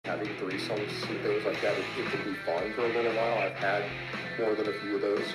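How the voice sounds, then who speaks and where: A man's voice: fairly low pitch, flat delivery, a little fast, over loud music. Self-assured man in his forties, echoey room. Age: 40s. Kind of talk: casual talk to camera.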